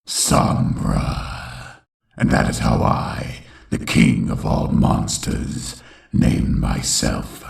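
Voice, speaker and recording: A man voicing a brooding villainous pony king who narrates his childhood dramatically in a very deep, gravelly voice. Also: drawn-out words. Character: brooding villainous pony king, self-styled king of all monsters, recounting childhood. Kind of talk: dramatic first-person narration. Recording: echoing, distant-sounding voice track.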